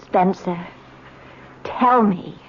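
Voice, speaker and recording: A woman's voice: on the low side, drawn-out words, breathy edge, full character voice. A woman playing a proper, brittle, insistent wife in a 1970s radio drama, precise and theatrical. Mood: wounded, accusing. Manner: precise, theatrical, self-correcting. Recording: muffled old radio broadcast.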